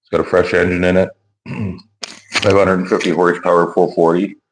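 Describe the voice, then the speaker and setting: A man with a very low voice that has gone hoarse, talking casually to his vlog audience. He rambles along easily and restarts his sentences. A faint hiss sits under his wireless clip-on mic. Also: flat delivery, brisk. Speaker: YouTuber and car builder.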